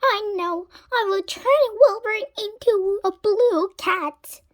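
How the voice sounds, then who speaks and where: A woman voicing an excitable, scatterbrained witch from a storybook, exclaiming theatrically. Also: extremely high pitch, slow, dry recording, clean studio sound, pitched above the performer's own voice. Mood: eager.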